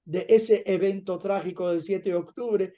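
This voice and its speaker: A man's voice: high voice, steady tone, a little slow, warm tone. A man, a rabbi, hosting the event and earnestly introducing and thanking the guest speaker.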